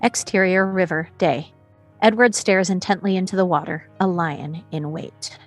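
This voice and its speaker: A woman reading screenplay action lines as scripted narration, in a storytelling way with short pauses. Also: lowish voice, faint music behind. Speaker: stage directions reader.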